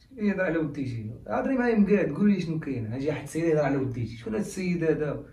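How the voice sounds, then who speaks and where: An actor playing a bossy, short-tempered older brother, speaking with a Moroccan accent in a brusque, scolding way. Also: male voice, on the high side, very animated, very fast, warm tone, recorded from a distance. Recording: roomy echo, mic set back.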